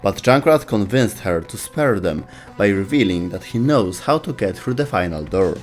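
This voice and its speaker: A man's voice: fairly low pitch, expressive, moderate pace, faint music behind. A man narrating a scripted video game lore explainer as its host.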